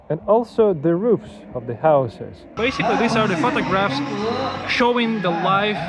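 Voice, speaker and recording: A man giving unscripted travel commentary as a vlogger, explaining what is around him and often rephrasing himself. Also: fairly high pitch. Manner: explanatory, repeating and rephrasing phrases. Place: mostly outdoors at a tourist site.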